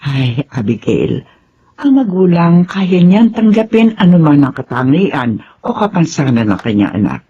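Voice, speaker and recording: Caring older aunt, low and very expressive. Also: female voice, a little slow, slightly rough, lo-fi sound, heavily acted. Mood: tender, reassuring. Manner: motherly, expressive, soothing. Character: caring, reassuring older aunt raising her late sister's children. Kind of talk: radio drama acting.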